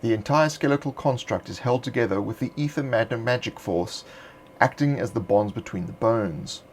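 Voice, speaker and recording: A man narrating a script in a measured, deliberate reading voice. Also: fairly low pitch, breathy edge. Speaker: narrator of a game supplement.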